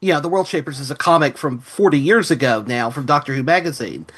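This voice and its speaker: A man's voice: fairly high pitch, lively intonation, fast talker, a bit bright, breathy edge. A man talking through his opinions in an analytical podcast review discussion. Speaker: podcast panelist.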